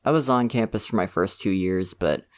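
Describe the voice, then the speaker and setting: A man's voice: sits low, normal speed, clean tone, close to an everyday voice. A man playing an easygoing college crush. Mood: easygoing chatty. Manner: casual, laughs often, soft-spoken and chatty. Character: friendly young male college student, easygoing and a bit shy. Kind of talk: ASMR roleplay.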